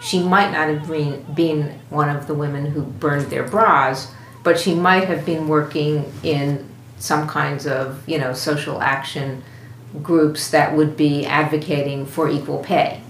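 A woman's voice: deep voice, fairly even intonation, measured pace. A woman guest commentator musing emphatically and off the cuff, as in an interview. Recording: echoey room with faint noise.

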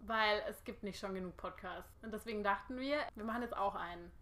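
Woman, late twenties, from Lake Constance, podcast co-host, bright, chatty, giggly. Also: medium pitch, brisk. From Germany, Lake Constance area. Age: 20s. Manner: chatty, giggly, self-mocking. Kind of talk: casual chat.

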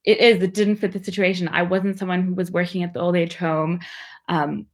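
Woman, young adult, slightly low voice, reflective personal account, measured, candid. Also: level tone.

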